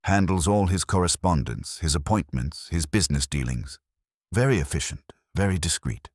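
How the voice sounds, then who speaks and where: A man's voice: low-pitched, lively intonation, moderate pace, husky, no room sound, studio quality. A man reading a respectful household woman. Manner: respectful, plain reporting.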